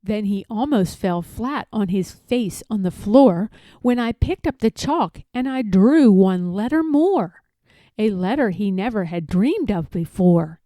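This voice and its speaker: A woman's voice: medium pitch, animated, unhurried, warm tone, no echo. A woman reading a rhyming picture book aloud expressively, drawing out key words for emphasis. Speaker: read-aloud storyteller.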